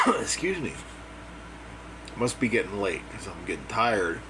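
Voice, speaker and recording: A man with a hoarse, dry voice giving a casual, opinionated monologue as a music commentator, plain-spoken and repeating his points. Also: on the low side, huge pitch swings, a little slow. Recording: air conditioner hum behind.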